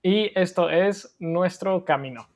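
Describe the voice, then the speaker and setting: An American man whose first language is English, co-hosting a casual podcast as a Spanish learner and speaking carefully with pauses. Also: high-pitched. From United States. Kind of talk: casual conversational podcast chat.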